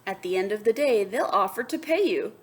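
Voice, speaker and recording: A woman teacher reading a picture book aloud to young students, expressive, moving between emphatic reading and soft, wondering asides. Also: medium pitch, fairly quick. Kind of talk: children's read-aloud with asides and questions to students.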